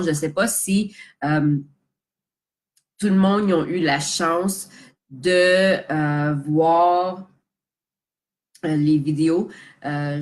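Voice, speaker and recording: A young woman with a Québécois French accent who has a cold, chatting casually on a live stream as a social media coach, with lots of fillers, restarts and English words mixed in. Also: sits low.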